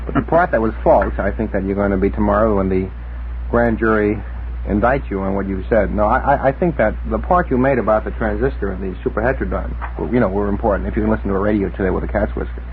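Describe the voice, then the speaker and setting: A man's voice: fairly low pitch, fast. A man hosting a casual radio talk show, cutting in with banter. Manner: interrupting, bantering. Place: radio studio. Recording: tinny, noisy 1960s radio recording.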